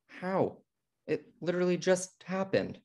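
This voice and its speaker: A man's voice: sits high, big pitch swings, unhurried, gravelly, darkish tone, muffled recording, full character voice. A young American man playing an uptight, fussy schoolteacher brother in a comedy table read. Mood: defensive. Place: indoor room, video call.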